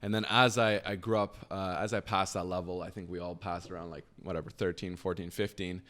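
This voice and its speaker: A young man chatting casually as a podcast guest, rambling with lots of fillers and trailing off quietly. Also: sits low, little pitch movement, a little fast. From Canada. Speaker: podcast guest, business founder. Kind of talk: casual conversation.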